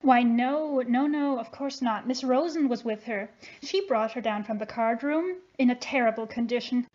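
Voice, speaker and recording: Woman playing an earnest high-voiced nurse. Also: normal speed, darkish tone, dull audio, natural voice. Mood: defensive, insistent. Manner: earnest, emphatic. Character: earnest, caring, easily affronted female nurse. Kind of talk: audio play acting.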